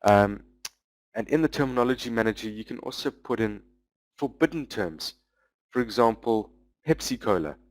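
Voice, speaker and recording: A man giving a webinar presentation, walking through software features in a loose, explanatory way with frequent pauses. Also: mid-range voice, lively intonation, no room sound, poor audio. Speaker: business development manager presenting a webinar.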